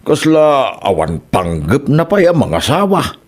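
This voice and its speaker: A man performs an old, doting Ilocano father in a comedy radio drama. He is plain-spoken, with a medium-pitched voice and an Ilocano Filipino accent. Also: wildly swooping pitch, measured pace. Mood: resigned, patient.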